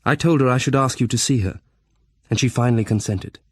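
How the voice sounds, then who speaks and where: An actor playing a worried Victorian gentleman reading out his letter to a friend in an audio drama, earnest and polite. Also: mid-range voice, fairly quick, breathy edge, no echo, clean studio sound, natural voice. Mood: worried, pleading.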